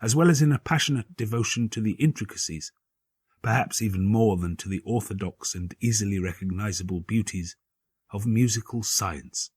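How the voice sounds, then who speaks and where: Husky, low-voiced man narrating gothic fiction. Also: a little mellow, no echo. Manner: grave, measured, theatrical delivery with formal literary phrasing. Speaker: audiobook narrator. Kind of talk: dramatic gothic audiobook narration.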